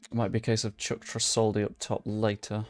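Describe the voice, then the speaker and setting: A man's voice: lowish voice, completely flat delivery, fairly quick. A young man chatting casually as he plays a football management game, talking to his viewers and cursing under his breath. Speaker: gaming YouTuber. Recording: dry close mic with game music behind.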